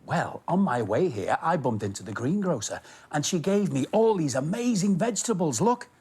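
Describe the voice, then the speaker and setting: A man narrating a story for children as host, expressive and speaking directly to young listeners. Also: fairly high pitch, moderate pace, slightly rough, no echo. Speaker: storytelling host of a children's show, station worker persona.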